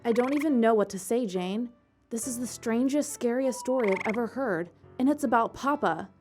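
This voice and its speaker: Reassuring, earnest sister, female actor for audio drama: slightly high pitch over clear background music. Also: normal speed, natural voice. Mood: curious, reassuring. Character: curious, reassuring sister reading father's eerie diary aloud. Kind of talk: audio drama dialogue and diary reading.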